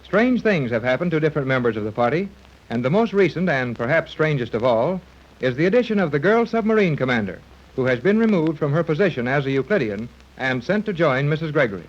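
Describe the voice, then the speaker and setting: A man's voice: a little high, big pitch swings, quick pace. A man narrating a 1930s radio serial, formal and dramatic, on a dull vintage recording. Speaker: announcer, narrator.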